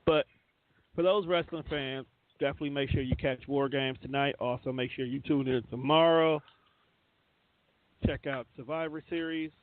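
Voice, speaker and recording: A man's voice: medium pitch, steady tone, normal speed. A man hosting a casual radio show, talking informally with plenty of slang. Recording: narrow, phone-like sound.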